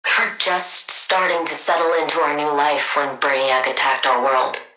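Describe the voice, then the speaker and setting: A low-pitched female scientist supervillain from a video game, with a slightly roomy sound. She is haughty and impatient, choosing clinical words and landing them with deadpan sarcasm. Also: normal speed. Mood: measured. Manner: deadpan, sardonic, precise scientific wording.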